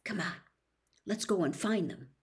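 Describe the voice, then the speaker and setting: A woman voicing an anxious but determined young boy wizard in a storybook, speaking in a breathy, urgent way. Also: mid-range voice, expressive, measured pace. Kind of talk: acted storybook dialogue.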